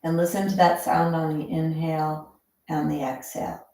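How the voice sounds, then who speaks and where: A woman instructor leading a guided breathing meditation in a calm, soothing, measured way, leaving long pauses. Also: low voice. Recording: very echoey, reverberant room.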